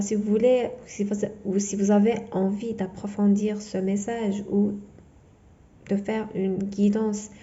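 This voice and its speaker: A woman whose first language is not French, giving a tarot reading in a halting way full of fillers and restarts. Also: mid-range voice, creaky, warm tone, dull audio. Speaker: tarot reader.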